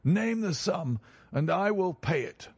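A man's voice: high-pitched, lively intonation, slow pace, darkish tone, breathy edge, no room sound, voice pushed up, heavily acted. A man voicing a frantic old banker. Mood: anxious. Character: distraught elderly male banker, frantic and pleading.

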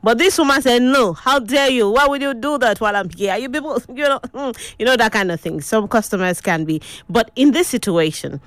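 A woman's voice: a little high, fairly quick, few pauses, fairly bright tone, dry recording. Nigerian woman hosting a radio show. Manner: conversational, asks listeners questions. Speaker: radio host.

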